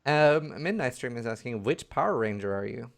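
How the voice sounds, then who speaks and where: A man hosts a live stream and reads viewer questions aloud in a jokey way. His pitch moves animatedly up and down around a middling level. The delivery is loose and conversational. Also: animated, moderate pace, creaky. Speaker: stream host reading chat questions. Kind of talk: casual live stream chat.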